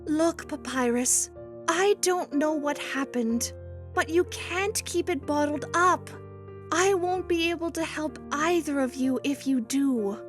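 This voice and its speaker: A woman's voice: high-pitched, slow. A woman voicing a motherly spider monster in a comic dub, caring and firm in an earnest, coaxing way. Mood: gentle, coaxing. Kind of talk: amateur comic dub voice acting. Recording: music bed under the voice.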